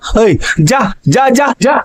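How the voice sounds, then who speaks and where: A man's voice: extremely high pitch, very animated, slow pace, heavily acted. A man voicing a poor, anxious young fisherman in a cartoon, pleading and frightened. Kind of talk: cartoon voice acting.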